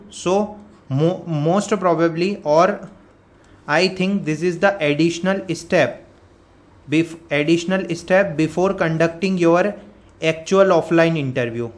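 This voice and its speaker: A man with an Indian accent giving an informal explanatory update as a career coach, repeating his points step by step. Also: fairly high pitch. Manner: repetitive, step-by-step explaining with frequent check words. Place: voice-over on a screen recording.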